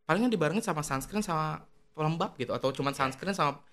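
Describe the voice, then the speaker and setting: A man's voice: fairly high pitch, lively intonation, fast, a bit bright. A man chatting informally as a podcast guest and skincare creator, mixing English terms into his talk. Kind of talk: casual podcast interview chat.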